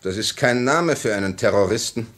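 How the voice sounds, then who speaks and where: A hardened revolutionary in vintage German radio drama. He is low-pitched, terse and suspicious, delivering short questions and blunt verdicts with cold severity. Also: animated, a little fast, fairly bright tone. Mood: grim, fanatical. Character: grim, hardened, suspicious male revolutionary terrorist. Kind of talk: 1950 German radio play acting.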